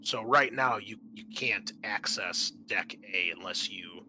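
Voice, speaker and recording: A man hosting a tabletop roleplaying game as game master, narrating off the cuff and pausing to think aloud. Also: lowish voice, noisy background.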